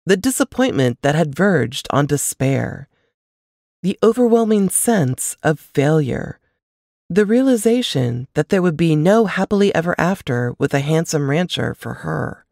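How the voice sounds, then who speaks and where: A woman reading a novel aloud as scripted narration, with expressive, measured storytelling delivery. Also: low voice, vocal fry, dry recording, clean studio sound. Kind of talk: scripted audiobook-style fiction narration.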